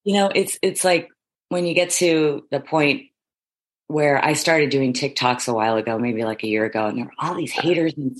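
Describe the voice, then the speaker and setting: A middle-aged woman with a low, husky voice, chatting as an interview guest in a rambling, emphatic, self-affirming way. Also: normal speed. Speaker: reality show contestant guest. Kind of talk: casual interview chat.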